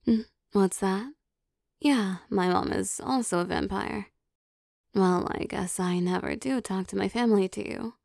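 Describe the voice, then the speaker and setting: A woman acts out a teasing, possessive vampire girlfriend in an intimate ASMR roleplay. She takes her time, coaxing softly, with a gravelly fry in her voice. The sound is close and very dry. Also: mid-range voice, a little slow, no echo. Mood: relaxed, affectionate. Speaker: voice actor.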